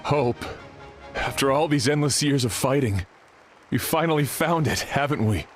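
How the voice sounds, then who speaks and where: A man's voice: mid-range voice, lively intonation, moderate pace, faint music behind, close to an everyday voice. A man playing a battle-weary, earnest and hopeful tribesman in a video game. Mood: moved, hopeful.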